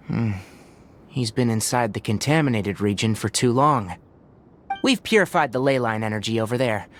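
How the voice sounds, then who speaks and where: A man's voice: mid-range voice, a little hiss or hum, lightly acted. A man voicing a young forest healer in a video game, clinical, matter-of-fact and dryly kind, with thoughtful hums. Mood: clinical, calm.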